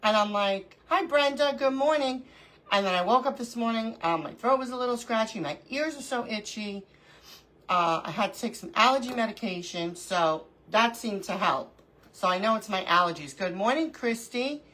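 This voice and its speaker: A middle-aged woman hosts a casual crafting livestream from home. Her voice is bright and clear, though stuffy from allergies, and she sniffles now and then. She chats easily and greets viewers one by one by name. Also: fairly high pitch, expressive, moderate pace, low-quality recording. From New York.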